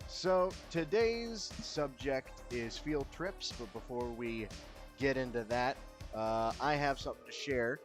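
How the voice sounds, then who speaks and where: A man hosting a podcast in a casual chat, joking and upbeat, his voice a bit hoarse. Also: mid-range voice, animated, slow pace, soft background music. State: slightly hoarse. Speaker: podcast host.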